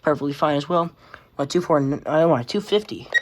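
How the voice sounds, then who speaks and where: A man's voice: a little high, expressive, a little fast. Offhand man over clicky background noise. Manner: offhand, rambling, mildly profane. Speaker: radio collector showing collection. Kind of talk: casual collection tour. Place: indoors among working radios.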